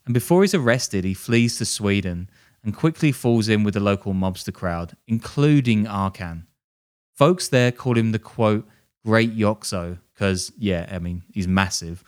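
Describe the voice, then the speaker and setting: Smooth-voiced joking male podcast host. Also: lowish voice, measured pace. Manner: jokey, self-deprecating, laughs while telling. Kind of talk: casual storytelling chat.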